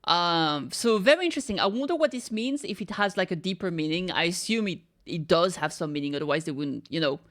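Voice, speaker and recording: A woman hosts a casual reaction commentary in a fairly low voice. She thinks aloud as she speculates, working through ideas step by step. She often tags her points with a quick check for agreement. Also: expressive. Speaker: reaction video host.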